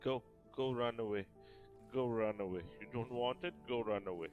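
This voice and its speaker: A man's voice: mid-range voice, normal speed, narrow, tinny audio. A man giving casual gameplay commentary, often repeating short phrases, with game music behind him. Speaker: gaming video host.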